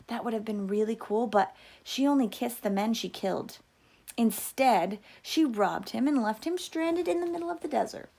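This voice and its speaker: A woman reading a children's novel aloud as a teacher, with expressive storytelling delivery. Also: medium pitch, vocal fry.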